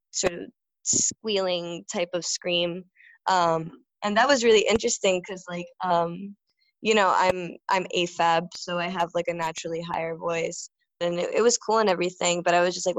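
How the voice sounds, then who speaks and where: A woman's voice: on the low side, no echo, low-quality recording. A young woman vocalist answering interview questions in a casual, rambling way, full of fillers and restarts. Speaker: band vocalist.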